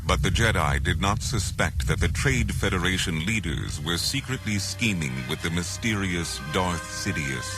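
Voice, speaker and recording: Male storybook narrator: deep, authoritative, dramatic scripted read over a musical score. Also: expressive, music in the background. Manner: solemn, deliberate, authoritative.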